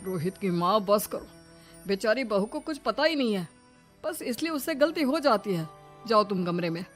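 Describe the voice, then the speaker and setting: A woman voicing a calm, placating elderly father-in-law in a cartoon moral story, speaking in a measured, soothing way. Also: medium pitch, close to an everyday voice. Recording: music behind the voice.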